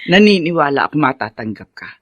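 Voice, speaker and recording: Weary filipino mom in a radio drama, tender, raspy n creaky, dry close sound. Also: female voice, low voice, sing-song, quick pace, dry recording, lo-fi sound. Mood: warm, encouraging. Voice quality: husky, creaky. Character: ailing, devoted middle-aged mother, tender and weary.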